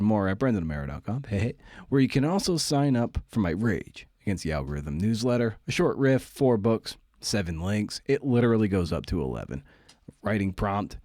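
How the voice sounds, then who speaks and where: A man's voice: lowish voice, a little mellow, no echo, studio quality. A man hosting a podcast, talking casually and colloquially with playful asides as he introduces and interviews.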